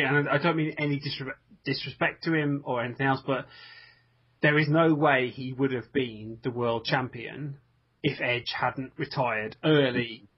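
A man's voice: medium pitch, slightly rough, highs cut off. A man co-hosting a casual wrestling podcast, talking emphatically with frequent restarts. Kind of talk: casual chat.

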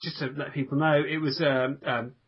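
A man's voice: on the high side, fairly even intonation, moderate pace, highs cut off, crowd noise. A man co-hosting a casual wrestling podcast, talking emphatically with frequent restarts. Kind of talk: casual chat.

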